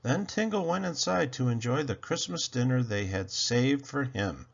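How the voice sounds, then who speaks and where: A man reading a children's storybook aloud as narrator, with expressive, deliberate and dramatic delivery. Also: mid-range voice, vocal fry, lo-fi sound. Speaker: storybook narrator, channel host.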